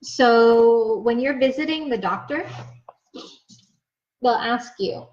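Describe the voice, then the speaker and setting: A young woman teaches English, speaking very slowly so every word lands. Her voice sits a little high, and she keeps checking in with her students. The recording is a bit dull and lo-fi. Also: a little mellow. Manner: patient, repeats words and checks with "okay?". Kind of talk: slow, clear ESL lesson explanation. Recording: slightly dull, lo-fi sound.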